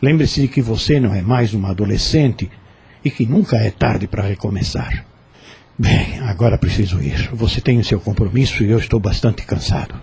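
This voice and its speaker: A Brazilian man playing a calm, caring confidant who gently counsels in an audio drama. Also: on the low side, moderate pace, slight background noise, lightly acted. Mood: gentle, admonishing.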